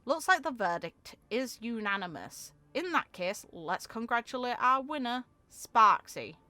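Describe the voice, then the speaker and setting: A woman's voice: fairly high pitch, lively intonation, measured pace, sharp tone, dry recording. A woman voicing a mischievous, showy young female streamer from a game story, theatrical and teasing. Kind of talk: game lore character read-aloud.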